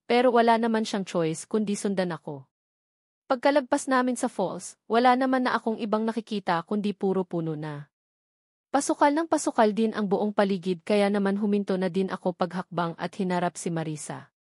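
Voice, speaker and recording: A woman's voice: medium pitch, fairly quick, a little mellow, no room sound, clean studio sound. A woman with a Filipino accent reading a first-person story as a scripted audiobook narration.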